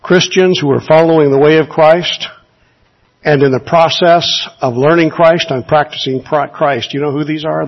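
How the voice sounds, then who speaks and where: A man's voice: mid-range voice, creaky, husky, dull audio. A man preaching a sermon in an earnest, exhorting way, asking his listeners rhetorical questions.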